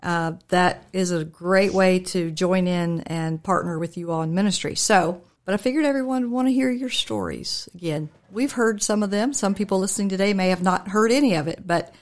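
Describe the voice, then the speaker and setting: A middle-aged woman pastor hosting a radio talk show, speaking gently and unhurriedly with frequent ums. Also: medium pitch, moderate pace, few pauses.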